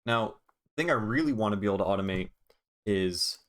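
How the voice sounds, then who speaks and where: A man hosting a casual software tutorial on music production, talking in an offhand, informal way and swearing casually. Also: fairly low pitch, expressive, brisk.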